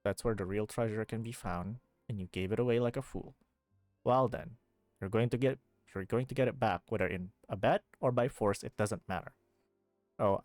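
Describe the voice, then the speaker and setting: A woman's voice: a bass voice, darkish tone, dry recording. A woman streaming a video game, commenting and reading dialogue aloud over game music.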